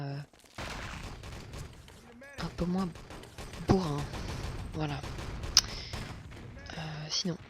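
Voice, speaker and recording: A man comments on a shooter match in a casual voice-over, hesitating often and filling his pauses with "euh". His home recording is noisy, with clear gunfire and game sounds underneath his voice. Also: on the high side. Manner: hesitant, full of "euh" fillers and restarts. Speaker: gameplay commentator. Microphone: home voice recording, self-described as not the best setup.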